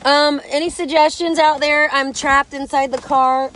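A woman's voice: high-pitched, steady tone, a little slow, a bit bright, slight background noise. A woman in her thirties vlogging from inside a truck, flustered and laughing in exasperation, recorded on a phone held at arm's length. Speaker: mother vlogging. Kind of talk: casual selfie vlog. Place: inside a parked truck, under an open umbrella.

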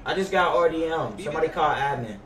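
A man's voice: a little high, lively intonation, fast, crowd noise. A young man livestreaming a game, talking in casual, brash, profane slang. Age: young adult. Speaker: game streamer. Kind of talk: casual livestream gaming banter. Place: indoor room at a gaming setup. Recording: roomy, distant sound with faint noise.

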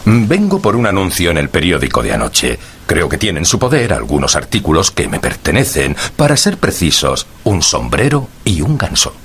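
A man playing a genial, impoverished older gentleman in a radio drama, stout and courteous, with formal, wordy speech and a gravelly, husky voice. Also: deep voice, huge pitch swings, moderate pace, a little hiss or hum, full character voice.

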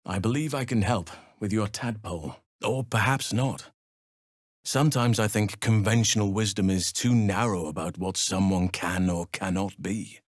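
A man performs an ancient male elf druid from a fantasy video game. His voice sits low and is recorded close and dry. He speaks formally and calmly, with an earnest, solemn love of nature. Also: no room sound, close to an everyday voice. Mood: warm, reflective. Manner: measured, formal, solemn phrasing. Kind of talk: video game character dialogue.

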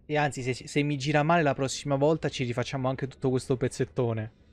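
A man's voice: mid-range voice, steady tone, fairly quick. A young man doing casual, slangy live game commentary with some swearing. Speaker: game streamer. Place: small indoor room, webcam stream.

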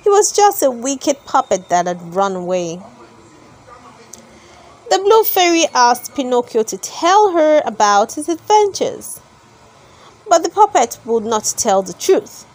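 A woman narrates a children's story in a high-pitched voice. She takes her time, at a markedly slow pace. Her delivery is expressive and very lively in pitch, leaning on key words for drama. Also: poor audio.

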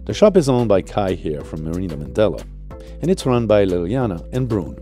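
Man, very deep voice, dark mellow tone, lively intonation, calm sailing vlog voice-over. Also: a little fast, faint music behind. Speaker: vlog host and narrator. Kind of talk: voice-over narration.

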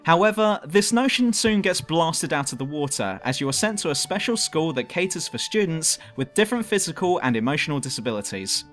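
A man's voice: on the high side, very animated, brisk, hardly any pauses, a bit bright, faint music behind. A man reading a scripted countdown review as host, with a cheeky, irreverent manner. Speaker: host and reviewer of a top ten list show.

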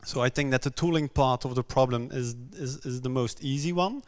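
A man from Belgium, for whom English is not his first language, giving a conference talk on a stage with many pauses, "um"s and repeated words. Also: medium pitch. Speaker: conference speaker.

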